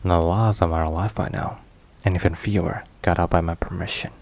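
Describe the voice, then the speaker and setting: A man playing a stern, protective, weary mafia boss in an intimate ASMR roleplay, speaking in a grave, measured, halting way. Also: sits low, gravelly. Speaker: voice actor. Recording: steady rain and thunder noise. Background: rain and thunder.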